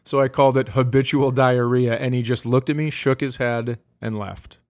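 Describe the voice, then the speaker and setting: A man's voice: mid-range voice, steady tone, moderate pace, darkish tone. A middle-aged man hosting a comedy podcast in casual chat, telling stories with an amused, ready-to-laugh manner.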